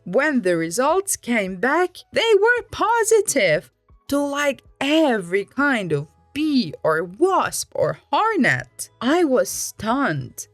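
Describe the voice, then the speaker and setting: Woman, story reader, high-ish voice, very lively intonation, dry recording, soft background music. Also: no echo. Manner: animated, swoops pitch up and down for emphasis. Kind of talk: reading an online story aloud.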